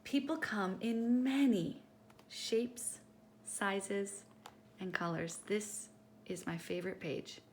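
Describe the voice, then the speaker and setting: A woman reading a picture book aloud to children in an expressive, teacherly way, speaking directly to her young listeners. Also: medium pitch, takes it slowly, slight background noise.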